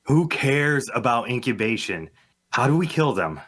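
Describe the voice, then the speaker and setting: A man playing a gruff, greedy, impatient mercenary henchman in a fantasy audio drama. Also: mid-range voice, sing-song, normal speed, fairly bright tone. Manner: blunt, impatient.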